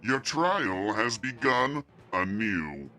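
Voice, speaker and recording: Man as menacing machine overlord, low pitch, slow measured delivery, pompous, audio comic villain. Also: sing-song, bright, forceful tone, faint music behind, heavily acted. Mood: menacing, insistent. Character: cold, lofty male alien machine overlord, menacing captor.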